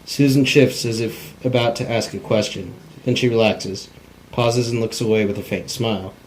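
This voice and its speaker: A man's voice: on the low side, fairly even intonation, normal speed, slight background noise, low-quality recording. A man narrating script directions in a plain, unpolished cold read, stumbling now and then. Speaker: narrator reading stage directions.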